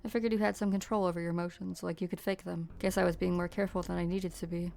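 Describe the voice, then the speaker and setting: A woman's voice: fairly low pitch, level tone, quick pace, gravelly, a little mellow. A woman playing a cold, guarded mercenary leader in an amateur game fan dub, speaking in a curt, cynical way. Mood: cold, scornful.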